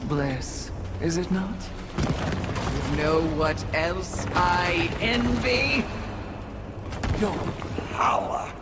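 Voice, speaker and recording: An actor voicing an envious, scheming shape-shifting demon who taunts slowly, with loud game music behind. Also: mid-range voice, very noisy. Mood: gloating. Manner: gloating, slow and taunting.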